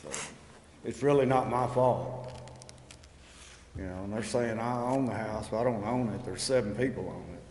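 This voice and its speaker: Male resident at a hearing, lowish voice, halting and resigned, long room reverb. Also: a little mellow. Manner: halting, plain-spoken, resigned. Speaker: resident speaking at a city council hearing. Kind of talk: unscripted public comment. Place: council chamber. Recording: echoey hall, long reverb, faint noise.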